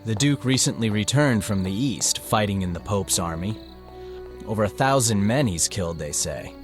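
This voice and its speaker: A young nobleman, voiced by a man, gossipy and knowing. His voice is on the low side, heard over faint music in a historical audiobook. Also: expressive, moderate pace, natural voice.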